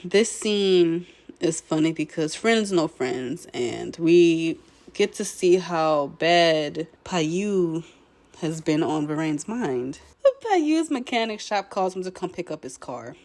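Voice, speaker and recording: A young woman, hoarse and a bit nasal while recovering from illness, casually recapping a show episode in a laid-back way, clearing her throat now and then. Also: fairly low pitch, expressive, slow pace, darkish tone. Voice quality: slightly nasal. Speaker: show commentator.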